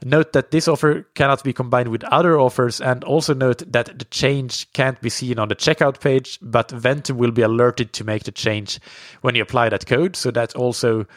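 A man hosting a podcast, reading sponsor messages and then interviewing a guest in a relaxed, conversational way with frequent fillers. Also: medium pitch, moderate pace, dry recording, studio quality. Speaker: podcast host.

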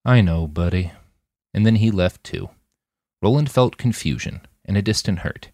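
A man reading a scripted story aloud as an expressive audiobook-style narrator. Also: lowish voice, normal speed, gravelly, no echo. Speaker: author reading own fiction podcast.

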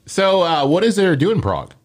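A man hosting a casual interview, relaxed and curious, with casual slang. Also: sits high, quick pace. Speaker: host.

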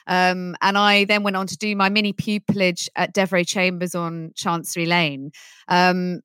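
A woman's voice: lowish voice, flat delivery, moderate pace, a bit bright, clear, resonant tone, no echo. A woman who grew up in the Netherlands, speaking English and Dutch from childhood, telling her story as a guest in a chatty, humorous way. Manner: chatty and humorous, laughs often. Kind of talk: casual interview conversation.

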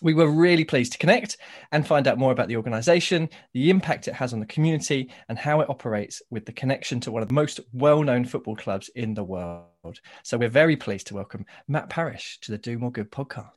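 A man's voice: medium pitch, a little fast. A man from South East London hosting a podcast, telling wry stories and reading out a guest introduction. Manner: easygoing, wry storytelling. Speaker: podcast host. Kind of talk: casual chat and read introduction.

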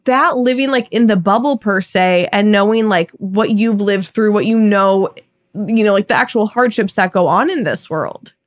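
A woman's voice: medium pitch, normal speed, no room sound. Woman co-host asking long questions. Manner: thoughtful, long winding questions. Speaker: podcast co-host. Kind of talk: casual interview chat.

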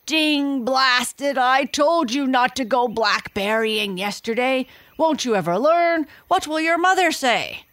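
A woman voicing a vain, hot-tempered young male lion who yells and blusters. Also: fairly low pitch, expressive, normal speed, dry recording. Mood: scolding. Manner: yelling, blustering.

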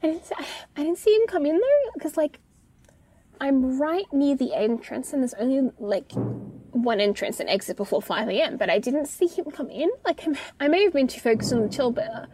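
A woman's voice: sits high, lively intonation, moderate pace, dark tone, slight background noise. A woman playing a nervous supermarket night-shift worker in an audio drama, rambling hesitantly and apologetically. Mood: uneasy, rambling. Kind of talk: naturalistic audio drama monologue.

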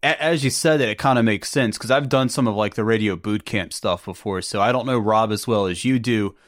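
A man hosting a podcast interview, talking in a relaxed, hedging way. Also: lowish voice, a little fast, dry recording, studio quality. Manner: relaxed, hedging with many "like" fillers. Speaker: podcast host. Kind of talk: casual interview chat.

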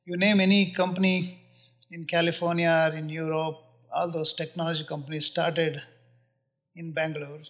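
Indian man in his forties, fairly high voice, earnest and unhurried, leans on "uh" and "um" between thoughts. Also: flat delivery, fairly bright tone, faint music behind. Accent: Indian English. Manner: earnest, measured, pauses with many uh and um fillers. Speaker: real estate investor guest. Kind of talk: casual interview answers.

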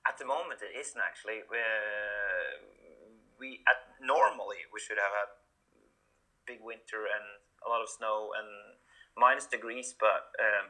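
A man's voice: fairly low pitch, unhurried, sharp tone, poor audio. A middle-aged man from Norway with a Norwegian accent, talking casually as an interview guest. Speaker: podcast guest, professional chef.

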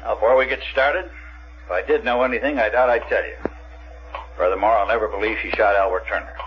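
A man's voice: on the low side, steady tone, quick pace, close to an everyday voice. Actor as a curt, proud old American father, muffled. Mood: guarded, defiant. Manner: curt, guarded, stiff. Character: tall, thin, stooped old father, proud, stubbornly protective. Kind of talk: 1970s radio drama acting. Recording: muffled, slightly noisy radio recording.

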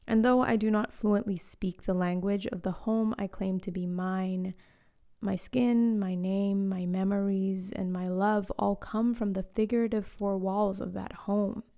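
Late-twenties woman, mellow and lightly gravelly, reading a reflective first-person story with quiet, lyrical pacing. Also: mid-range voice, fairly even intonation. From born in Eswatini to Zambian parents, raised in South Africa, Australia and Canada. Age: late 20s. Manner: slow, reflective, lyrical reading with soft hushed lines. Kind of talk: scripted personal narration.

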